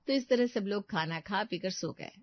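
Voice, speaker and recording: A woman's voice: medium pitch, normal speed, dry recording. A woman narrates a moral story from a script. Her recording is very dry, with a slightly dull top end.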